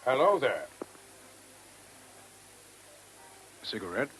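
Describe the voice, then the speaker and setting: A man's voice: medium pitch, big pitch swings, fairly quick, fairly bright tone. A man playing a worldly, cynical army major in a radio play, with a casual, folksy manner. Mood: casual, probing. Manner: casual, wry, folksy phrasing. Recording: noisy old radio drama recording.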